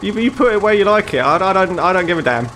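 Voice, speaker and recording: A man's voice: high-pitched, animated, fast talker, sharp tone, slight background noise, pitched above the performer's own voice. A man voicing a blunt, matey taxi driver who repeats himself and couldn't care less. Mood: breezy, dismissive. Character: gruff, indifferent male taxi driver who doesn't judge.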